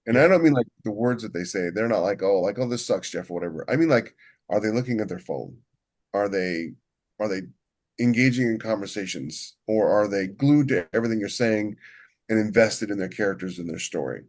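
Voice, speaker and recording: Deep-voiced man in casual conversation. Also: a little fast, darkish tone. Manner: rambling, often repeats words as he starts. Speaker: podcast co-host and novelist. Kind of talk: casual shop talk about writing.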